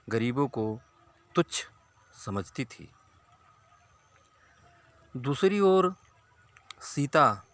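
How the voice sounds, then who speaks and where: A man narrating a scripted moral story to listeners as a storyteller, in a deliberate, halting, phrase-by-phrase delivery. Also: mid-range voice, pushed and bright, dry recording.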